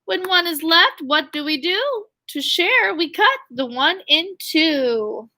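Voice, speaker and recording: Woman, school teacher, high voice, slow pace, expressive storytime reading, questions and asides for children.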